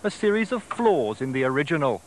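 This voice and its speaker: A man's voice: fairly high pitch, very animated, brisk. A man with an English accent reading scripted narration as a television news reporter. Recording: music behind, home off-air TV recording.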